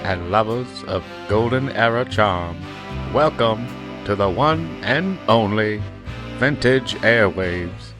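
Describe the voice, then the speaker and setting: A man's voice: on the low side, lively intonation, takes it slowly, over music. A man hosting a program in the style of a vintage radio announcer, greeting his listeners. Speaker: radio show host.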